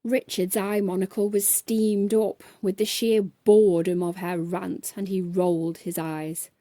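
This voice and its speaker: A woman's voice: medium pitch, little pitch movement, unhurried, warm tone, no room sound, studio quality. A woman narrating a children's bedtime story expressively, as a storyteller reading aloud.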